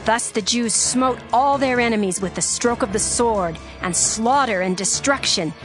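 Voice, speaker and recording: A woman narrating scripture in a steady, formal storytelling cadence. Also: fairly high pitch, moderate pace. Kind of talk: dramatized scripture reading. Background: soft music.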